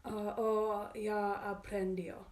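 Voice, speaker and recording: A woman's voice: medium pitch, level tone, very slow, darkish tone, roomy sound. A woman interviewer asking formal questions with some hesitation.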